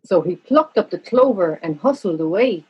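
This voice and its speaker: Husky woman narrating a children's story. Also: on the low side, lively intonation, normal speed, highs cut off.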